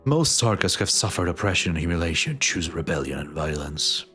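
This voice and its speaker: A weary, resolute fantasy game warlord played by a man speaks in a deep voice. He is grave and fatalistic, weighing each phrase deliberately over faint background music. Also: sing-song, pushed and bright, heavily acted. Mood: stern resolve. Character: grim, fatalistic male war leader, weary and resolute. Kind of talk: dramatic fantasy game dub acting.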